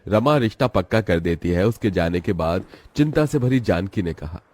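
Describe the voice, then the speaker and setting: A man narrates a cartoon story in a steady, dramatic manner. His voice sits very low. Each line is delivered like scripted storytelling. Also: moderate pace.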